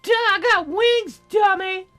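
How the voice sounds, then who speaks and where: A man voicing a bossy, bratty young fairy girl in a squeaky falsetto for a visual novel game. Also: takes it slowly, pushed and bright, faint music behind, heavily acted. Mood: indignant, bossy. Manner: petulant, demanding.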